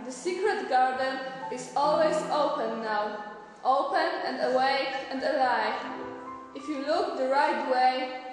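A woman playing an outspoken, bossy orphan girl with a tender side in a puppet show, speaking deliberately and emphatically. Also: sits high. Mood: reflective. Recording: echoey hall, distant mic, music.

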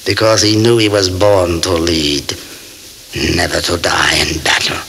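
A proud old vampire nobleman in an audio horror drama. His speech is deep and very deliberate, courtly and grandiose, with a sharp, menacing edge. Faint tape hiss sits under the voice. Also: creaky, full character voice. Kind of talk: radio drama acting.

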